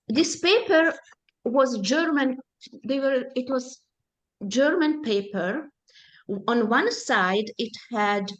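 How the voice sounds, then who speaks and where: A woman in her seventies whose first language is Hungarian, giving a slow, deliberate personal testimony as a presenter at a support group. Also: medium pitch. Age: 70s.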